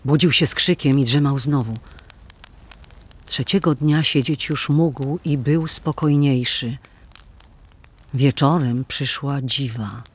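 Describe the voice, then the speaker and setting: A man narrating an old folk tale as a dramatic storyteller in a theatrical, deliberate manner. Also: medium pitch, husky.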